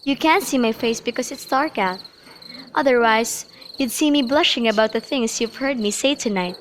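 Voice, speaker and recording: A woman's voice: fairly high pitch, moderate pace, natural voice. A woman playing an earnest, lovestruck young noblewoman in an amateur student radio drama of modernized Shakespeare. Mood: shy, earnest. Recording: noisy home recording, crickets behind.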